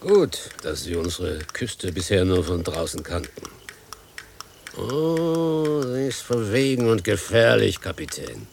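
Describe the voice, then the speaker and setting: A gruff coachman for a radio drama. His voice is low and heavily gravelled, and he speaks slowly, grumbling bluntly yet with a servant's deference. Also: very animated, slight background noise, exaggerated voice. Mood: ominous warning. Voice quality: gravelly, husky. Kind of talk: German radio play acting.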